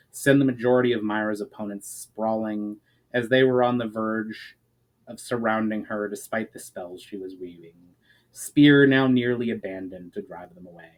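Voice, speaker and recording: A man's voice: mid-range voice, rough recording. Male storyteller for fantasy fiction; mid-range voice, earnest dramatic delivery, phrases that drop at the ends. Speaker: author reading own story chapter aloud.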